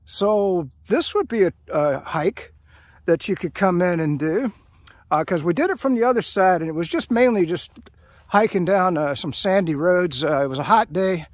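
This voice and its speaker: An older American man chatting casually outdoors as a hiking vlogger, in a rambling, halting way. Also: high voice, expressive, dry recording. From United States. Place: outdoors at a trailhead by open fields. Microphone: handheld camera held by speaker.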